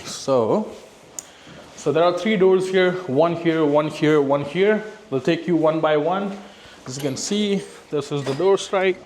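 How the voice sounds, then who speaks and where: A man's voice: mid-range voice, a little mellow. A man giving a casual, explanatory walkthrough demonstration as an installer, often checking in with a short tag question. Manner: explanatory, often tags sentences with "right?". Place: on location around a residential building, lobby to parkade.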